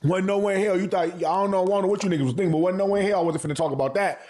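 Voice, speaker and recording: A man's voice: high voice, steady tone, very fast, darkish tone, a little hiss or hum. A man hosting a casual anime review, talking in heavy slang and profanity, repeating phrases for emphasis. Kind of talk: casual hype review commentary.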